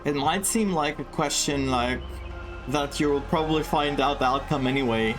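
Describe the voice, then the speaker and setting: A man playing through a game story and thinking aloud, excited and chatty, in a room indoors. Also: a little high, moderate pace. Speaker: gaming streamer. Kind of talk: let's-play commentary. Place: indoor room with air conditioning. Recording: voice over game music.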